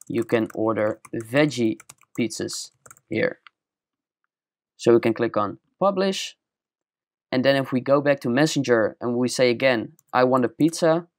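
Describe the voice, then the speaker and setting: A young man with a Dutch accent walking through a screen-recorded software tutorial step by step, in a plain explaining manner. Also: medium pitch, dry recording, studio quality. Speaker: tutorial presenter.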